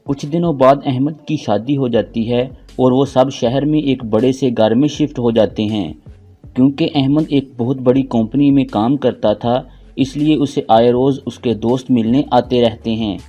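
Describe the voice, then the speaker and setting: A man's voice: mid-range voice, measured pace, mellow, muffled recording, soft background music. A man narrating a scripted moral story as storyteller.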